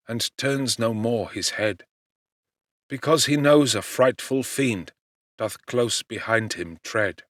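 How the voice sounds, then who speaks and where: A man reading literary narration as an audiobook actor, in an expressive, measured and theatrical manner. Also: fairly low pitch, no echo, studio quality.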